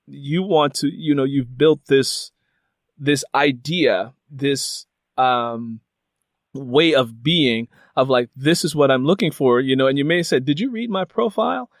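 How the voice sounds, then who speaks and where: A man's voice: medium pitch, a little slow, no room sound. Man interviewing, very dry close sound. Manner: meandering, frequent "you know". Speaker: interviewer and host. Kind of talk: casual interview with personal storytelling.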